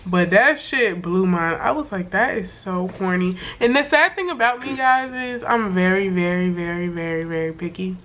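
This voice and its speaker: A young woman with a voice worn hoarse from sickness, telling a story to camera in a loose, slangy way full of fillers and swearing. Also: fairly low pitch, moderate pace, hardly any pauses, a bit bright, muffled recording. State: hoarse from being sick. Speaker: vlogger. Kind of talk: casual storytime chat to camera.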